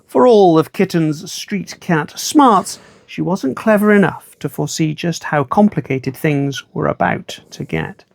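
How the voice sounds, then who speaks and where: A storyteller reading a children's book aloud in an expressive, measured, wry way. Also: mid-range voice, gravelly, no echo.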